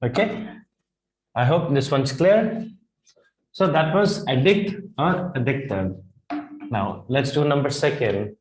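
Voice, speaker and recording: A man in his thirties with an Indian English accent, teaching vocabulary at a whiteboard. He repeats phrases slowly and keeps checking in with "right?". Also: medium pitch, lively intonation. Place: classroom in front of a whiteboard. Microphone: lapel mic. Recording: echoey classroom.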